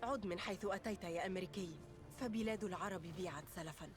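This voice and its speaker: Woman playing a proud rebel tribe leader, talks fast, firm and defiant, game cutscene acting. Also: fairly low pitch, over music, close to an everyday voice. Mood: bitter, defiant.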